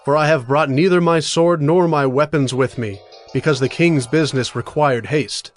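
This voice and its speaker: A man's voice: on the high side, normal speed, faint music behind, natural voice. A man playing an earnest, persuasive young warrior on the run in a dramatized Bible story, firm and formal. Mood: urgent, resolute.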